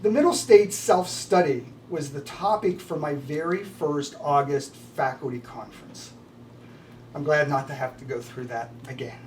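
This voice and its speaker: A man's voice: a little high, moderate pace. An older man, a retiring college professor, giving prepared farewell remarks. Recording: distant mic with slight background noise.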